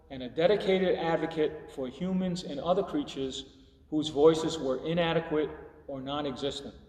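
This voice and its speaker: A man reading a scripted tribute to a congregation in a measured way, pausing between phrases. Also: fairly high pitch. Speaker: church service reader. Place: large church hall. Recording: echoey hall, distant microphone, long reverb.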